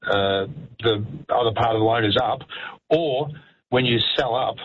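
Halting older man on the phone. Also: mid-range voice, muffled recording, a little hiss or hum. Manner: halting, many ums and restarts. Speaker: caller. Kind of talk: casual chat on talk radio.

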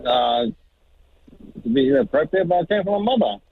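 A man, a truck driver calling in, talking haltingly with lots of fillers and jokes. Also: a little high, lively intonation, creaky. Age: 40s. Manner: halting, joking, full of fillers. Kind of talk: phone-in call. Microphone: phone. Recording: tinny phone line.

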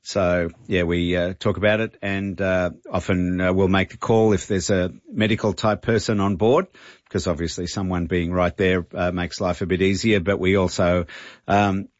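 A man, a pilot guest on a radio interview, speaking haltingly with many fillers. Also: sits low, fairly even intonation, no echo, dull audio.

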